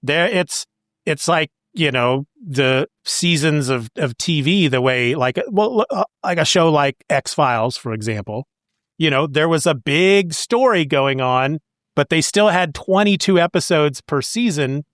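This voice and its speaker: Male podcast host, higher-pitched, casual or reading promos, recorded dry. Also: animated, unhurried, a bit bright, dry recording. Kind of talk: casual chat and scripted show promos.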